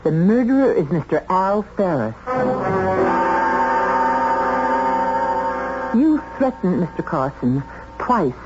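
A woman playing a flustered young secretary under suspicion in a 1970s radio drama, halting and emphatic as she protests. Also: on the low side, big pitch swings, loud music behind the voice. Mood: accusing, insistent. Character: flustered young female secretary accused of murder. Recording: dull, hissy vintage radio recording.

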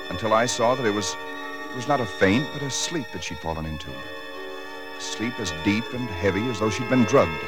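An actor playing a haunted, secretive husband who narrates a horror in halting, dramatic 1940s radio style. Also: male voice, fairly low pitch, lightly acted. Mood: haunted dread. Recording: 1940s radio broadcast recording, loud music behind.

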